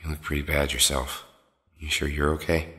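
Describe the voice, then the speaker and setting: An actor playing a frightened, pained young male captive in an audio drama, halting and emotional. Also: a bass voice, level tone, a bit bright. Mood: scared. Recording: long hall-like reverb.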